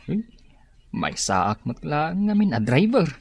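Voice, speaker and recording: A man's voice: fairly high pitch, big pitch swings, takes it slowly, gravelly, slightly rough, exaggerated voice. A man acting a lovesick, insecure younger Filipino man with an Ilocano accent in a comedy radio drama. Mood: plaintive, lovesick.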